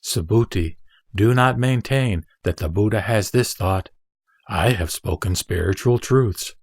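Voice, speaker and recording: A man playing the Buddha as a serene, authoritative teacher, with deliberate, emphatic phrasing in a scriptural audio drama. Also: fairly low pitch, dry recording, studio quality. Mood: calm, instructive.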